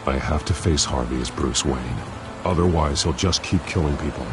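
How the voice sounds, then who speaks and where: A man playing a brooding, grim masked vigilante in a video game, commanding and terse, with a gravelly growl. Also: a bass voice, moderate pace, music in the background. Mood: grim, determined. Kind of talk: dramatic video game acting.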